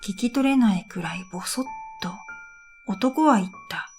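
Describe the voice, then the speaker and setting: A woman with a fairly high voice narrates an audio drama in the first person. Her delivery is scripted, reflective and measured. Music plays behind her voice. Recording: background music under the voice.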